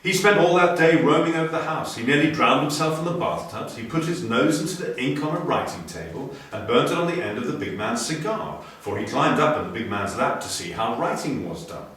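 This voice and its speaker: Animated male storyteller in an echoey room. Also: mid-range voice, brisk, hardly any pauses. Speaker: storybook reader. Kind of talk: scripted children's story narration, expressive. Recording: very echoey room, distant mic.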